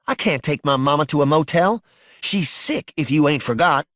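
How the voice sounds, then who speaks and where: Man voicing an anxious, high-pitched young Southern wife. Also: normal speed, fairly bright tone, no echo, clean studio sound, close to an everyday voice. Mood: frightened, pleading. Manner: plaintive, folksy rural speech. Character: anxious, wounded-hearted young Southern wife, pleading and fretful.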